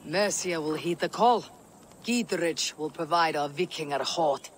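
An actor playing a stern Viking war leader, blunt and commanding, in a video game cutscene. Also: male voice, on the high side, unhurried, a little hiss or hum, natural voice.

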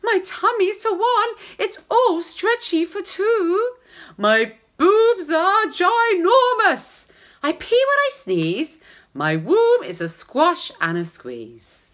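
A frazzled young mother wails her complaints in a high, piercing voice, as a woman puts her on. Her delivery swoops up and down, bright and strained. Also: sing-song, takes it slowly, heavily acted. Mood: wailing. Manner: shrill, exclamatory complaining.

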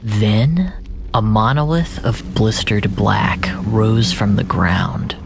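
A man narrating a scripted story in a measured, solemn, literary way, often breathy and dropping toward a whisper. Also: on the low side, animated. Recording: narration over a music bed. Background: music.

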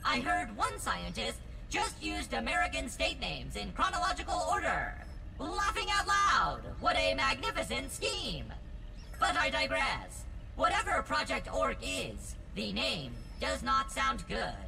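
Video game robot helper: heavily fried, animated delivery, stiffly formal, wordy, eccentric, glitchy stutter. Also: female voice, a little high, a little slow, vocal fry, exaggerated voice. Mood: amused, then matter-of-fact. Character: chirpy, wordy, eccentric robot lab assistant. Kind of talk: scripted video game dialogue. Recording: loud music behind. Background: music.

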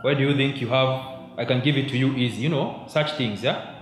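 A man's voice: mid-range voice, brisk, soft background music. Young Kenyan man preaching in an echoey hall. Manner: mixes in Swahili, keeps checking in with "yeah?". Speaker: youth preacher. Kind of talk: conversational sermon. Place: church hall, at a pulpit. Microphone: handheld mic held close. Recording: echoey church room, faint background hum.

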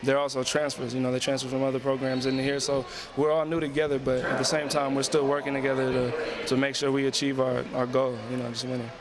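Young guy talking quick in a flat, even tone, laid-back and polite, lots of "you know"s, crowd noise all around him, handheld mic in an arena hallway. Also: medium pitch. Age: young adult. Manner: relaxed, polite, many "you know" fillers. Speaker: college basketball player. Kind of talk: post-game interview. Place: arena concourse, indoors. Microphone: handheld interviewer's mic.

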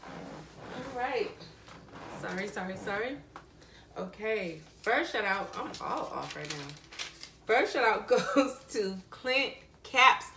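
A woman's voice: medium pitch, lively intonation, takes it slowly, creaky, long pauses, background noise. A woman in her thirties co-hosting a reaction video at home, playful and agreeable. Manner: agreeable back-channel replies, playful. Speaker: reaction channel co-host. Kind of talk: casual reaction chat. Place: bedroom, indoors. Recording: echoey room, mic far off.

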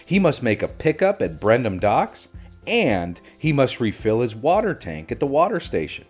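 Husky man reading a lively children's storybook. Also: medium pitch, moderate pace. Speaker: storybook narrator. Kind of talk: expressive children's storybook narration. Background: music.

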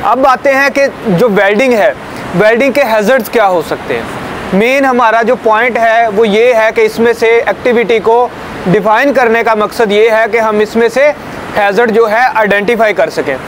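Young male trainer, higher voice, patient explainer, recorded outdoors by a camera a few meters off with light street noise. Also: normal speed, rough recording. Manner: explanatory, checks understanding with "ठीक है" and "समझ आई है?". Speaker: safety trainer. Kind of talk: hands-on safety demonstration. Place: outdoors beside a building, small group of trainees.

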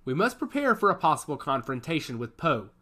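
A man voicing a grave older male rebel leader, measured and solemn. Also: on the high side, very animated, pushed and bright, heavily acted.

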